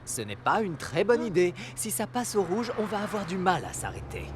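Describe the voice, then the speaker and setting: A man's voice: high-pitched, big pitch swings, moderate pace, heavy background noise. A man playing a cautious, patient father who explains things in a children's cartoon. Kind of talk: French-dubbed children's cartoon.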